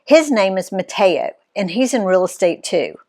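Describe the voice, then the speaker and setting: A woman reading her own family's travel story aloud in the first person, playing up key words. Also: moderate pace. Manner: expressive reading, pitch swinging on key words.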